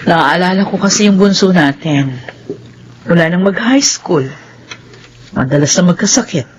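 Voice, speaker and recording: A woman's voice: on the low side, lively intonation, moderate pace, dull audio, a little hiss or hum, close to an everyday voice. A woman playing an anxious yet strict middle-aged mother in a Filipino radio drama, with a Filipino accent. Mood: worried.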